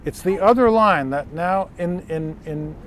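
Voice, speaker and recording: High-pitched male sailing instructor, windy boat. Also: measured pace. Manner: didactic, explanatory. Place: outdoors on a sailboat under way. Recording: windy outdoor boat noise.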